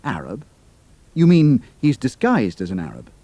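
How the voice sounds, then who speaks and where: An actor playing a young British flying officer working undercover, brisk and plucky, with a British accent, in an audio drama. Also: male voice, medium pitch, wildly swooping pitch, warm tone, no echo. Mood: clipped, cool.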